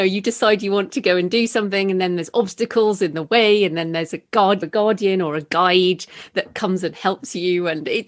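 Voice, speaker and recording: A woman's voice: mid-range voice, no room sound. Woman podcast host, close dry sound. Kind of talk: conversational interview.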